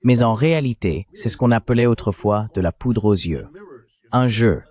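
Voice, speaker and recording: A man's voice: on the low side, normal speed, darkish tone. A man's AI-generated voice reading a translated political commentary in an explanatory, rhetorical manner. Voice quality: synthetic AI voice. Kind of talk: translated voice-over of a panel discussion.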